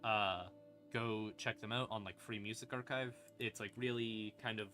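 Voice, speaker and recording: Man, fairly low pitch, crisp bright edge, informal streamer chat, hesitant asides, faint eerie ambient music behind. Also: fairly even intonation, moderate pace. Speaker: streamer hosting a lore question-and-answer stream. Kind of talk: casual livestream ramble. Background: creepy ambient backing music.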